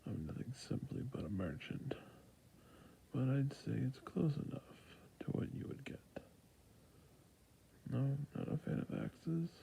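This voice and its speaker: A man playing a friendly, humble fantasy merchant in a soft-spoken, soothing ASMR roleplay, with a breathy, gravelly murmur. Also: medium pitch, sing-song, measured pace, pauses often, slight background noise, full character voice, rough recording. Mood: patient, attentive. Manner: soft-spoken, half-whispered, soothing shop patter. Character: friendly humble male fantasy shopkeeper, obliging merchant and fletcher.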